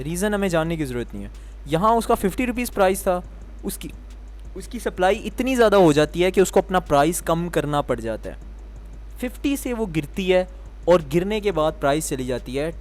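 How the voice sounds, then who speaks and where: Man teaching with quiz-style questions. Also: a little high. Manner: explains step by step, asking the viewer questions and answering them himself. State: sore throat. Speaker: trading teacher. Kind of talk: explanatory lesson.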